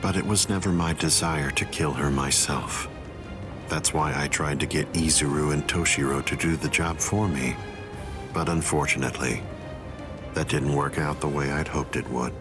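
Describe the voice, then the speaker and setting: A man voicing a calm, coldly condescending villainous captain in an anime game, with measured, composed delivery. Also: very deep voice. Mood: coolly remorseless. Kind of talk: English voice acting for anime game cutscene. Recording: dramatic music behind the voice. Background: loud music.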